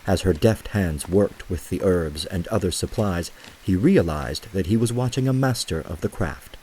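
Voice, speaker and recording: A man narrating a scripted story for an audio drama, with a husky voice and a measured, gentle storytelling manner. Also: low voice, slight background noise, low-quality recording.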